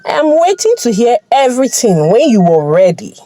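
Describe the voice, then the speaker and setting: A woman voicing a wise, curious mother with a pointed, measured way of talking in a folktale. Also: a little high, big pitch swings, warm tone. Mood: intrigued. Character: curious, wise, caring mother of a grown daughter.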